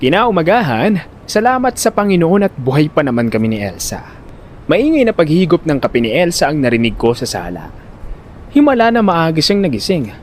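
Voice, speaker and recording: A man's voice: fairly high pitch, slight background noise. A man with a Filipino accent playing a panicky, talkative older brother who narrates his own story in an audio drama and gets easily flustered and exasperated. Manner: flustered, stammering when pressed, exasperated.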